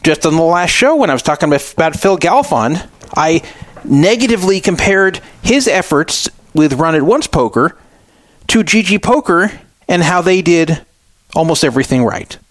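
A middle-aged man hosting a talk radio show, giving opinionated solo commentary with emphatic, deliberate phrasing and repetition for emphasis. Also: fairly high pitch, lively intonation, no echo.

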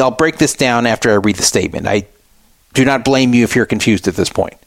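A man's voice: mid-range voice, no echo. A man hosting a radio show, reading out and explaining things in a conversational, explanatory way. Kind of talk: talk radio commentary, reading out and explaining a statement.

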